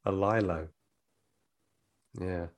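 A man hosting a podcast, chatting and telling stories in a relaxed way. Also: low-pitched, very slow. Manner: relaxed storyteller, laughs easily. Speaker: podcast host. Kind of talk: casual chat.

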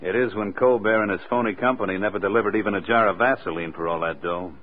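An actor playing a brusque, sardonic federal agent in a 1940s hardboiled radio drama. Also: male voice, fairly low pitch, fast talker, close to an everyday voice. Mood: pressing, sardonic. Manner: curt, sardonic. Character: brusque, cocky tough male federal agent. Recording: tinny vintage radio recording.

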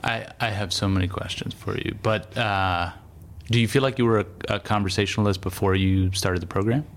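A man's voice: lowish voice, steady tone, fairly quick, a little hiss or hum. A man hosting an interview, asking thoughtful, rambling questions with many hesitations. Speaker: interview host. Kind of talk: conversational interview. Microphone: remote call.

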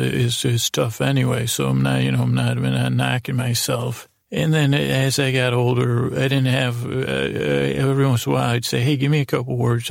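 A middle-aged American man with a very creaky, gravelly voice hosts a podcast in a flat, even tone. He rambles through personal stories, halting and restarting, often putting himself down. The recording is close and dry, with no room sound. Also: medium pitch, no echo. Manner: halting, meandering, self-deprecating. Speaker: podcast host.

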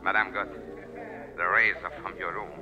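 A man's voice: low-pitched, level tone, moderate pace, natural voice. A man playing a solemn, devoted butler with a formal, deferential manner in a 1950s radio drama. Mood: tense. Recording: muffled old radio broadcast, music behind.

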